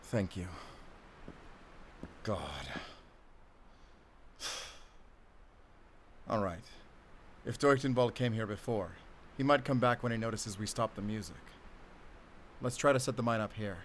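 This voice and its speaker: A man's voice: on the low side, moderate pace, pauses often, natural voice. A man playing a grim, commanding werewolf hunter, speaking tersely in an audio drama. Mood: quiet, focused. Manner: terse, tense, giving orders. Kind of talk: cinematic audio drama acting. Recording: clear background noise.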